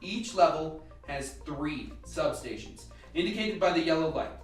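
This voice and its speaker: A man acting in an amateur radio play as a calm, instructive scientist briefing a lab team with measured delivery. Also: fairly high pitch. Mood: matter-of-fact briefing. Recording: echoey room, distant mic, faint music.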